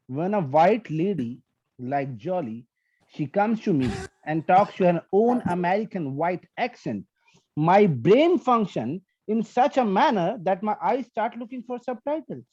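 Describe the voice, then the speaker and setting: A man's voice: high voice, animated, unhurried, mellow, no echo. Indian guy doing standup, swears a lot, punchy storytelling, dull dry sound. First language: Hindi and Punjabi. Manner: brash, profane, storytelling. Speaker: stand-up comedian. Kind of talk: stand-up set.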